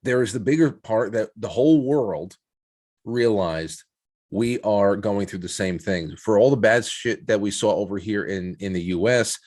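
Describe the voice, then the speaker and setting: Low-pitched man, halting and reflective. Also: darkish tone. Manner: halting, repeats words as he builds points. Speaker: podcast guest, show host. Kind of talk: reflective conversational interview.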